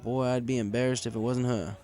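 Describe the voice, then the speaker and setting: A man's voice: mid-range voice, steady tone, a little fast, warm tone. A man reading a first-person story aloud in a wry, conversational way. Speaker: story reader. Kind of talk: first-person scripted fan fiction narration.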